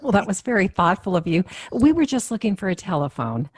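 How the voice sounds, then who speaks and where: A woman's voice: mid-range voice, very animated, a little fast, husky. An actress playing a sensible, anxious but polite family mother in a radio sitcom. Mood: concerned.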